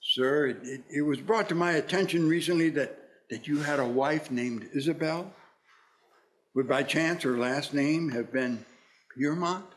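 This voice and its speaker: An actor playing a reformed old miser uncle in a radio play, speaking formally and haltingly. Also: male voice, on the high side, natural voice. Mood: tender, hesitant. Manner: formal, halting with repeated words.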